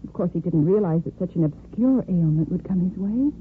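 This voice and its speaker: An actress in a 1950s American radio drama playing a weary young society woman, quietly compassionate beneath a frivolous front. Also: lowish voice, expressive, a little fast, natural voice. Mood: weary, earnest. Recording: very noisy, tinny 1950s radio recording.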